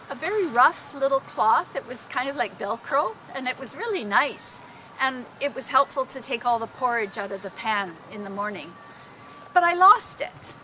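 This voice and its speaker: A woman telling personal stories informally to a group, in an animated, dramatic manner. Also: a little high, a little hiss or hum, poor audio. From Canada. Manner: animated, dramatic, acting out exclamations. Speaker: storyteller.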